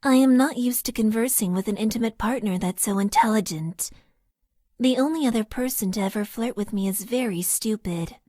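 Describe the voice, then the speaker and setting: An actress playing a stoic young female mecha pilot who holds back her emotions, speaking in a flat, deadpan way. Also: a little high, no room sound, studio quality. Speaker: game character. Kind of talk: dating sim voice lines.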